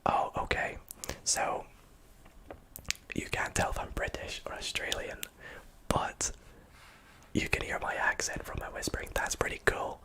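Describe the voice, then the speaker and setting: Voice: a little slow, pauses often, rough recording. Whispering Scottish ASMR streamer. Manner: soft whisper, reading chat aloud with many fillers. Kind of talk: whispered livestream Q&A.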